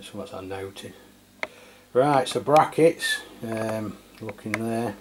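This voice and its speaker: A middle-aged man from England giving an unscripted, casual product review in a hesitant, matter-of-fact way. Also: fairly low pitch. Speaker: radio reviewer. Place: indoors at a tabletop. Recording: clear steady background noise, room ambience.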